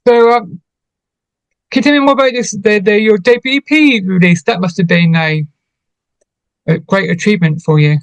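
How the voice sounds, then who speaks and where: A man with a distinctly high-pitched voice hosts a casual radio interview. He asks his questions haltingly, repeating words as he searches for the next one. The sound is dry, with little room around it. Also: steady tone, dry recording, rough recording.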